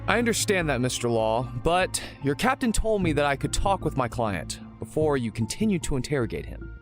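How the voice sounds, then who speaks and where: Male, very varied pitch, actor as courteous insistent lawyer, audio crime drama. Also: big pitch swings, a little fast, soft background music. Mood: smooth, insistent. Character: polite but insistent male defense lawyer.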